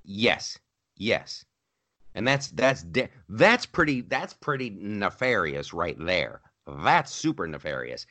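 Lively low-voiced man. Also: slow. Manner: animated, mocking put-on voices, repeats phrases. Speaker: podcast co-host. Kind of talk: casual political chat.

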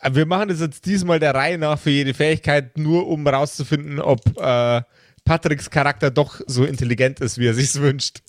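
A man's voice: a little high, steady tone, normal speed, dry recording. A man speaking casually and teasingly as the game master of a tabletop game. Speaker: game master on a tabletop role-playing podcast.

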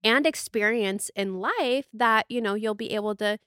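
A young American woman hosting a podcast, chatting and telling personal stories in an informal, animated way. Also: on the high side, moderate pace, clean tone, no echo, studio quality. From United States. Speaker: podcast host. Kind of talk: casual chat and personal storytelling.

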